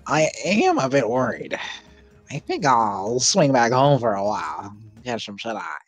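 A man voicing a laid-back, friendly island local from a game, casual and halting. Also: medium pitch, sing-song, no echo, soft background music. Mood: hesitant, casual. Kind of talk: amateur game fandub.

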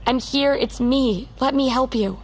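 A woman's voice: high voice, unhurried, darkish tone, breathy edge. An actress playing a headstrong, pleading young American woman, stubborn and wounded, in a naturalistic audio drama. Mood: anxious, pleading. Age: young adult.

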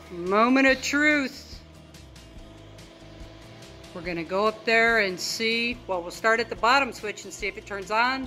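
A woman's voice: medium pitch, sharp tone. A middle-aged woman giving a casual, plainspoken, step-by-step tutorial as a DIY video host. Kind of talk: casual hands-on tutorial narration.

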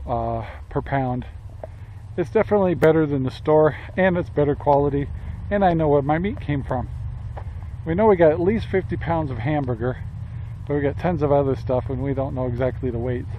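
A man's voice: medium pitch, highs cut off, slight background noise. An older man chatting casually to a handheld camera outdoors on his rural homestead, rambling with lots of fillers and little chuckles. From Central Oregon, USA. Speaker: homestead vlogger. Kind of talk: casual vlog talk to camera. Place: outdoors on a rural property and in a garage. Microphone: handheld camera at arm's length.

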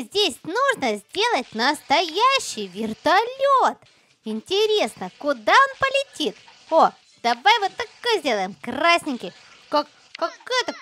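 High, animated woman playing along for kids. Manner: exclamatory, chatty, addresses the young viewer directly. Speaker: host of a children's gaming channel. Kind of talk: playful game play-along commentary for children.

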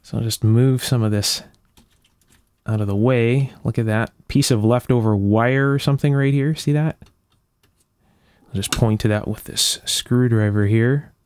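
A man's voice: mid-range voice, steady tone, unhurried, darkish tone, dry recording, studio quality. A man giving casual hands-on repair narration, explaining carefully step by step as he hosts. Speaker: electronics restorer and video host. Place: indoor electronics workshop at a bench.

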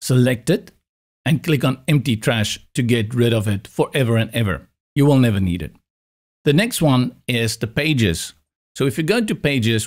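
A South African man whose first language is Afrikaans, explaining a website setup step by step in a patient screen-recorded tutorial. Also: medium pitch. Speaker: web design instructor.

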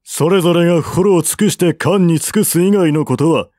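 A man's voice: fairly high pitch, normal speed, warm tone, no room sound, clean studio sound, lightly acted. A man reading as a proud, loyal general. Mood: firm, resolute. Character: proud, loyal male general of a fallen dynasty.

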